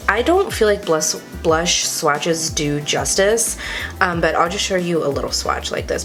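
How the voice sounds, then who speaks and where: A young woman, congested from a cold, chatting casually and gushing about makeup with lots of "like" fillers. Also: on the low side. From Texas. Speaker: beauty vlogger. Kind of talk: casual chatty product review.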